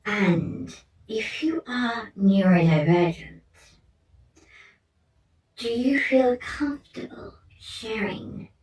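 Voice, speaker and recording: A woman playing a gentle, curious alien researcher in an ASMR roleplay, speaking softly and soothingly and often slipping into a whisper. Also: fairly high pitch, lively intonation, slow. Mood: gently curious. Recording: roomy echo, distant mic, faint noise.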